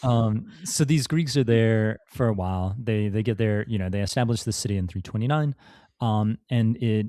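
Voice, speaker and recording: A man's voice: on the low side, moderate pace, dark tone, dry recording. A man, a podcast guest and author, telling a history story in casual talk, with many fillers and restarts. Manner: rambling, many fillers and restarts, laughs now and then.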